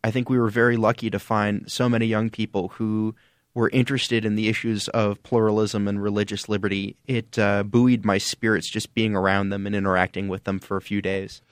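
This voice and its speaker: A man answering the host's question, earnest and a little halting. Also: on the low side, level tone, no echo, studio quality. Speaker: guest.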